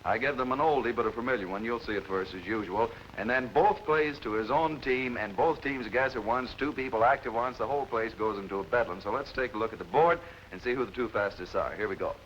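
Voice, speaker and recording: A man hosting a live 1950s television quiz show, brisk and jovial as he explains the rules and reads out scores. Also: medium pitch, fairly bright tone. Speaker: game show host. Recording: noisy lo-fi 1950s television audio.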